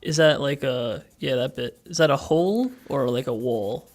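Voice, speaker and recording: A man's voice: medium pitch, normal speed. A man chatting casually as a player in a tabletop role-playing game.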